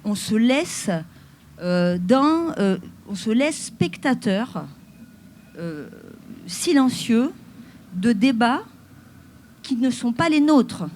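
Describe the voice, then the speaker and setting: A woman speaking on a debate panel, measured and deliberate, stressing her words as she explains her work with people she supports. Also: fairly high pitch, sing-song, a little mellow, a little hiss or hum. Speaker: panelist, social worker with the homeless and Roma.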